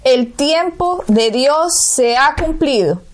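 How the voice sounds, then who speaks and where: A woman's voice: high-pitched, animated, takes it slowly. A Colombian woman co-hosting a Bible talk show, teaching emphatically and asking rhetorical questions. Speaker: co-host.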